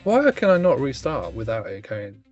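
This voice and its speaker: A man's voice: mid-range voice, huge pitch swings, normal speed, darkish tone, highs cut off, soft background music. A man co-hosting a casual chat, laid-back and prone to laughing and swearing. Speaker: co-host.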